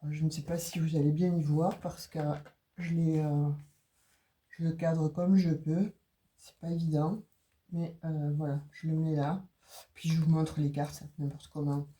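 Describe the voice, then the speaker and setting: A woman's voice: sits low, flat delivery, measured pace, dark tone. A woman with a cold doing an oracle card reading for her viewers, talking casually with many hesitations and restarts. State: has a cold. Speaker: tarot and oracle card reader.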